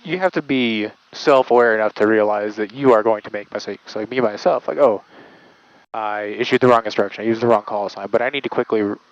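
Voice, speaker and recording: A man's voice: lowish voice, brisk, muffled recording. A man working as an air traffic controller, giving explanatory interview answers full of aviation jargon.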